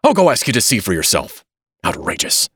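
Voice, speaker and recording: A man voicing an irritable old family doctor in an audiobook, with a sharp, cutting, scolding manner. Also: low-pitched, lively intonation, a little slow, dry recording, clean studio sound, full character voice. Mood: irritated. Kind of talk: audiobook character acting.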